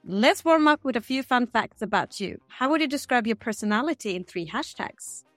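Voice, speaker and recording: A woman hosting an interview, reading scripted questions in a steady, measured way. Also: fairly high pitch, no echo, soft background music. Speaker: podcast interviewer. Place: Stockholm.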